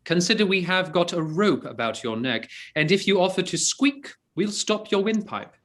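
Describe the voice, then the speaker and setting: An actor playing a witty, scheming gentleman in disguise, with an arch, theatrical delivery. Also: high-pitched, sing-song, normal speed. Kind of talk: stage-play reading.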